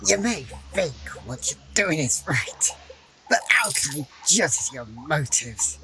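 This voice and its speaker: An actress playing a defiant, wise captive holy woman in a fantasy audio drama, measured and gently reproving. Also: sits low, lively intonation, exaggerated voice. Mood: solemn, pleading. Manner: measured, sighing, pleading, wryly defiant. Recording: clear noisy ambience behind the voice.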